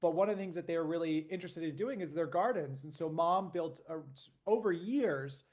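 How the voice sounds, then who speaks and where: A man speaking as a panel guest in a casual chat, playful and animated as he tells his story. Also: a little high, brisk.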